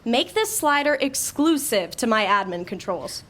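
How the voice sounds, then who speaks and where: A woman's voice: on the high side, very animated, measured pace, a bit bright. An actress playing an imperious, sardonic young woman in a digital afterlife in an audio drama. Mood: bossy.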